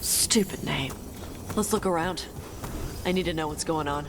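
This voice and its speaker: An actress playing a stern, battle-hardened dragon slayer, fierce and resolute, speaking in grave, emphatic short phrases. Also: female voice, low-pitched, moderate pace. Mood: troubled. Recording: clear background game noise.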